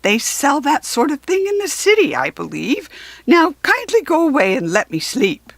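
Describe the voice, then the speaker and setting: A woman voicing a grumpy, sleepy old male owl in a storybook, with a scratchy, curt and loud delivery. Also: high-pitched, huge pitch swings, moderate pace, gravelly, no room sound, pitched above the performer's own voice, exaggerated voice. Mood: irritable, sleepy.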